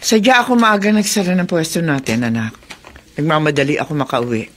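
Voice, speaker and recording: A woman's voice: low voice, a little fast, slight background noise, close to an everyday voice. A woman playing a caring middle-aged mother who reasons with her child in a Filipino radio drama. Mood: earnest, reassuring.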